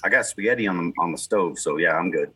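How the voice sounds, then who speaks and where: A man's voice: sits low, fast, bright, forceful tone, husky, soft background music. A man co-hosting a podcast in casual chat, telling rambling stories and chuckling as he goes. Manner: rambling storyteller, chuckles mid-sentence. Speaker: podcast co-host.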